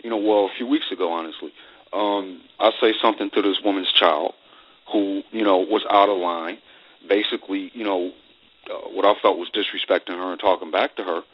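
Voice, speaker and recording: A man hosting a casual radio chat, telling things in a colloquial, halting way on a lo-fi recording. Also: sits low, fairly even intonation. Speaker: radio host. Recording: dull lo-fi radio phone-in audio.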